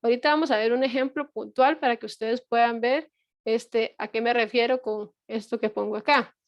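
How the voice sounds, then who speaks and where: Patient woman lecturer, slightly high voice. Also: steady tone, moderate pace, dry recording, dull audio. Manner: patient explaining with frequent fillers and rhetorical checks. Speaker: university lecturer. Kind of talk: online lecture.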